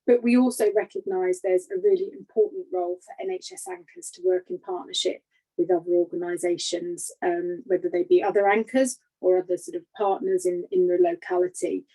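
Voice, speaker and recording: Creaky, husky woman explaining. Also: on the low side, fairly quick, mellow, poor audio. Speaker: grant programme lead answering questions. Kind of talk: unscripted explanation on an online information call. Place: online call.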